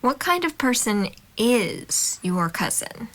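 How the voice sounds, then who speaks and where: A woman's voice: fairly high pitch, sing-song, slow, a bit bright, heavily acted. A woman acting an outgoing, curious young college student in an audio drama, playful, breezy and direct. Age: young adult.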